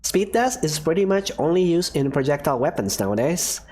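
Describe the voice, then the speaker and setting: A woman's voice: sits low, very animated, brisk, dark tone, a little hiss or hum. A woman voicing a cheerful, reckless female professor who lectures breezily and teases her assistant. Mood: lecturing. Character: eccentric, cheerful female dust science professor. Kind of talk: fan game voice acting.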